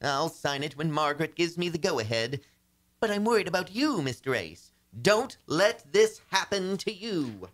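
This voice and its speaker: A fretful, henpecked businessman acted by a man in an old-time radio comedy. His voice rides high and agitated, pleading melodramatically and leaning on old proverbs. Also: very animated, normal speed, a bit bright. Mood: flustered.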